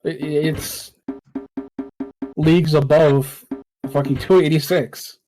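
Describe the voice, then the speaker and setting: A man's voice: on the high side, steady tone, takes it slowly, music in the background, poor audio. A young man co-hosting a casual streamed chat, eagerly explaining tech details and restarting his phrases. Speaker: co-host.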